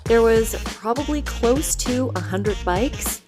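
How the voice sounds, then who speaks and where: A woman's voice: fairly high pitch, animated, slow pace, music in the background. A woman vlogger speaking in a chatty, enthusiastic way, casually reviewing motorcycle gear and narrating rides.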